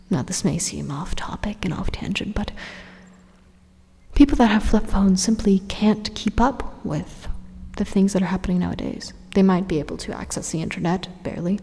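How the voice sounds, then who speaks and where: A woman voicing a sinister, condescending AI supercomputer in a breathy, half-whispered ASMR monologue, slow, deliberate and menacing. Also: medium pitch, warm tone. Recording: reverberant hall-like echo.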